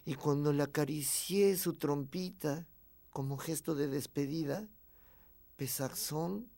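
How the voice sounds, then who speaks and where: A man with a Mexican accent playing a tender young boy who tells his own story with expressive, confiding emotion. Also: mid-range voice. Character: young boy tenderly recalling his beloved piggy bank. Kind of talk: dramatic first-person storytelling.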